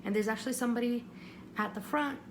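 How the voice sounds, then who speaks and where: A woman's voice: on the high side, fast, background noise. A woman giving casual, rambling advice, often interrupting herself and repeating phrases for emphasis. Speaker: vlogger giving audition advice.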